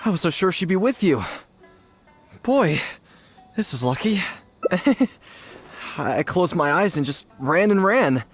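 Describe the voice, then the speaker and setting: Scatterbrained young gang lackey from a game, slangy n whiny, super animated pitch. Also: male voice, takes it slowly, long pauses, soft background music, heavily acted. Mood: nervous relief. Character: cowardly, scatterbrained young male gang underling. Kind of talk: animated video game voice acting.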